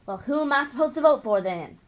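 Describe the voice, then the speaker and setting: A young woman with a high-pitched voice co-hosts a period-style radio show. She trades scripted banter in the manner of a mock 1920s broadcast. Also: expressive, fairly quick.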